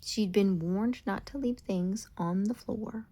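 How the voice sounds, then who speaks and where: A woman reading a children's storybook aloud in an expressive, deliberate storytelling manner. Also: medium pitch. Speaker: storybook reader.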